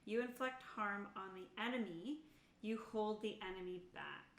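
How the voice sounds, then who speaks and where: A woman's voice: medium pitch, moderate pace, creaky, fairly bright tone. A woman chatting casually as a player in a tabletop roleplaying game, thinking aloud as she goes. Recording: roomy echo, faint background noise.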